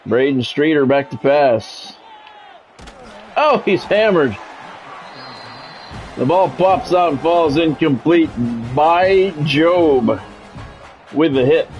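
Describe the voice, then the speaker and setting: An American man doing sports play-by-play for a video game stream, mixing game calls with relaxed, casual chat to viewers. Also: on the high side, slow pace, pauses often, soft background music. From United States. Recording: dull lo-fi audio with faint noise.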